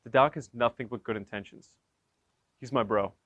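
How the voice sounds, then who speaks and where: A man's voice: medium pitch, normal speed, dry recording, narrow, tinny audio, close to an everyday voice. A man playing a meek, gullible, eager-to-please therapy patient in a comedy sketch, hesitant and earnest. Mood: earnest, devoted.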